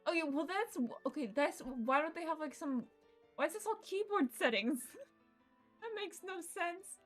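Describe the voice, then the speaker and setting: A woman's voice: very high-pitched, expressive, moderate pace, fairly bright tone, faint music behind. A young woman livestreamer chatting casually and informally to her viewers, swearing freely as she goes. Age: young adult. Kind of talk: casual chat to stream viewers while setting up a game. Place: indoors at home computer setup. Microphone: streaming mic with noise gate.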